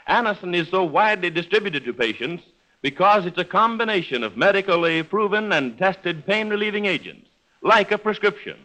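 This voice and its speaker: A man's voice: on the high side, bright, forceful tone, poor audio. A man announcing in formal, polished 1930s radio style, reading persuasively from a script. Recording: vintage 1930s radio broadcast recording.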